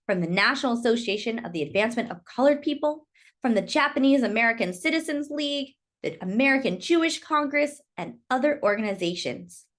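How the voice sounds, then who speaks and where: A woman reading a children's book aloud in expressive storytelling narration. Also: on the high side, a little fast.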